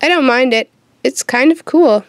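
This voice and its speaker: A young woman playing a sardonic, troubled teenage witch in an audio drama, with a wry, deadpan manner. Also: sits high, normal speed, smooth, dry recording, natural voice. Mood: curious. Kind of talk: audio drama acting with first-person narration.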